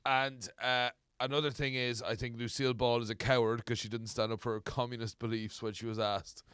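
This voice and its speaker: A man's voice: fairly quick, clean tone, dry recording, clean studio sound. A man co-hosting a podcast, joking and making mock rulings in casual banter. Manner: joking, deadpan rulings, drops to low mutter. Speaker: podcast co-host.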